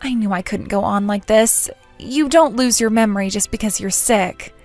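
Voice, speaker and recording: A woman's voice: medium pitch, moderate pace, darkish tone, soft background music, lightly acted. A woman playing a sociable but nervous, lovesick schoolgirl in a manga dub, with an emotive, hesitant delivery. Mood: nervous confession. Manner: emotive, self-doubting, hesitant. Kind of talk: dramatic manga dub narration and dialogue.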